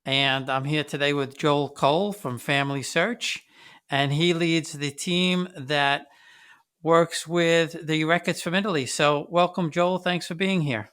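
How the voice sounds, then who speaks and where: A man's voice: on the high side, fairly even intonation, a little slow, fairly bright tone, smooth. A man hosting an interview, asking questions in a rambling, conversational way with many restarts. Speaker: podcast host.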